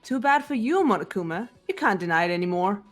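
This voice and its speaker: A woman's voice: medium pitch, animated, fast talker, faint music behind, lightly acted. A woman playing a cool, confident, analytical teenage girl detective in an amateur video game dub, assured and precise. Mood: challenging.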